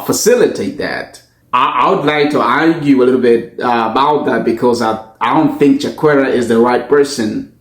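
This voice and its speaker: A man with a Tanzanian accent gives an earnest statement in the manner of a pastor addressing people directly. His pace is slow and deliberate, with emphatic rhetorical questions. His pitch is average for a man, and the room adds noticeable echo. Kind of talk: earnest spoken personal statement to camera. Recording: echoey, roomy sound.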